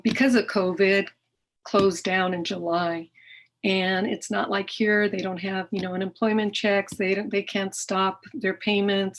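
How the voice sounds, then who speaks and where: Earnest woman presenting to a club. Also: mid-range voice. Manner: earnest, many fillers and restarts. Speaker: nonprofit founder presenting to a club. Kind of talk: presentation talk with slides.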